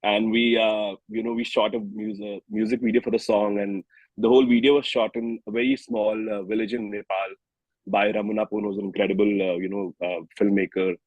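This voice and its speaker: A man's voice: lowish voice, flat delivery, brisk, a little mellow, no echo, dull audio. A man in his early thirties with an Indian accent, chatting informally as a singer-songwriter in an interview. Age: early 30s. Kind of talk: casual interview chat.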